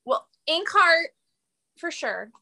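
Bright-voiced woman, enthusiastic and informal. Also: very high voice, big pitch swings, takes it slowly, creaky. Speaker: podcast guest. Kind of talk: casual chat.

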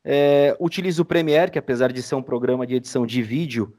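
Brazilian guy, podcast guest, explaining stuff in a casual chatty way, average pitch. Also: quick pace, dark tone. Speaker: podcast guest, audiodrama editor. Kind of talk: casual explanatory interview chat.

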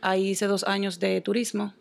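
A young woman from the Dominican Republic with a Dominican accent, answering interview questions in a soft-spoken way with frequent hesitations. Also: mid-range voice, completely flat delivery, moderate pace, vocal fry. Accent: Dominican Spanish. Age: young adult. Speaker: guest.

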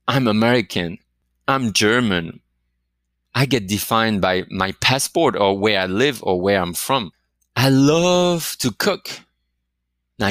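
A man's voice: on the low side, fairly bright tone, no room sound, studio quality. A man giving a reflective coaching talk, asking the listener many questions. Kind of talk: motivational talk to camera.

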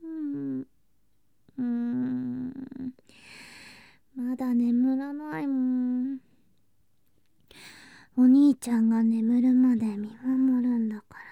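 A woman playing an affectionate, clingy younger girl cousin in an intimate bedtime ASMR drama, speaking softly and breathily, often in whispers, drowsy and coaxing. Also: high voice, gravelly, dry recording, narrow, tinny audio, full character voice. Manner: soft, drowsy, coaxing, sweetly childlike.

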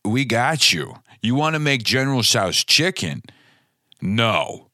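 A man's voice: medium pitch, very animated, slow pace, pushed and bright, dry recording, clean studio sound, exaggerated voice. A man doing a brash Jersey cooking guy. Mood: pushy. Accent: New Jersey. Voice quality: brash, pushy, mock-threatening. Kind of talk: comedy sketch ad.

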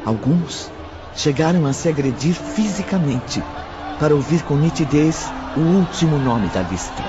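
A man narrating a radio drama in a measured, dramatic, literary way. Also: fairly high pitch, expressive. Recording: slightly muffled, music underneath.

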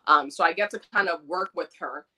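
A woman from New York, born in the Dominican Republic, talks earnestly with a bright, forward tone. Her pitch sits a little low for a woman. She chats freely and comes alive when she retells a moment. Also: a little fast, low-quality recording. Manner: earnest and chatty, animated retelling. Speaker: doula, guest. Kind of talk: casual interview conversation.

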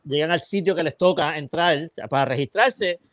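A man's voice: fairly high pitch, moderate pace, no room sound, clean studio sound. A man with a Puerto Rican accent, a podcast co-host retelling a film's plot in casual, rambling chat full of restarts. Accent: Puerto Rican Spanish. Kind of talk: casual film review chat.

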